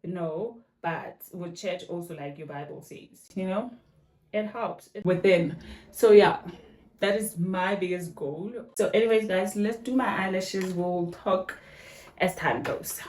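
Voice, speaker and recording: A woman's voice: fairly low pitch, slow, a little mellow. A woman in her thirties chatting casually to her viewers while getting ready in her bathroom, calling them "guys" and dropping slangy asides. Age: 30s. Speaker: vlogger. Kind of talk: casual vlog chat. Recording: echoey bathroom, faint music behind.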